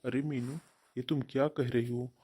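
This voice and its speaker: A man's voice: mid-range voice, level tone, normal speed, mellow, breathy edge, close to an everyday voice. A man voicing an earnest, devoted young husband in a cartoon family drama, with a pleading manner. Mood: pleading, hurt.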